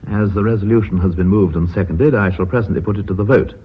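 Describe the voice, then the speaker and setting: An actor playing a blunt, authoritative company chairman in a radio drama, with an English accent and formal, measured, courteous speech. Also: fairly low pitch, natural voice. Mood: formal, firm. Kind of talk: BBC radio drama acting. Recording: muffled phone-like old radio recording, hiss.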